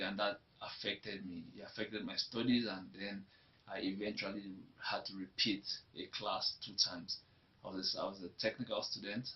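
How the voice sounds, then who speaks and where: A young Nigerian man with a Nigerian accent, telling his life story in an interview in a reflective way that often repeats itself. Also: on the low side. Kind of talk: personal testimony. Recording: dull lo-fi recording.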